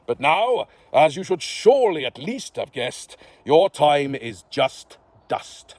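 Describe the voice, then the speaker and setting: A man voicing a sly, genial male underworld fairy lord, slow and knowing. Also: a little high, sing-song, darkish tone, no room sound, heavily acted.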